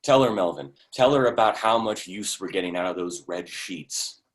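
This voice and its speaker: Male actor as volatile lover, low voice, very animated pitch, sarcastic, emotional stage drama. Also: moderate pace. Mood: bitter, confrontational. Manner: emotional, sarcastic, stammers when worked up. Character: volatile, bitter man caught in a love affair. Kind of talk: dramatic acting in a staged play reading.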